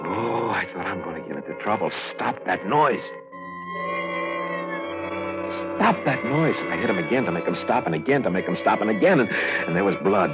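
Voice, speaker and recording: Unhinged, theatrical murderer, wildly swooping voice. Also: male voice, sits low, animated, quick pace, loud music behind the voice. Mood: frenzied, horrified. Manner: theatrical, feverish, declamatory, repetitive. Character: unhinged middle-aged actor playing a guilt-crazed murderer. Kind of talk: 1940s-50s radio thriller acting. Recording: muffled old radio broadcast recording.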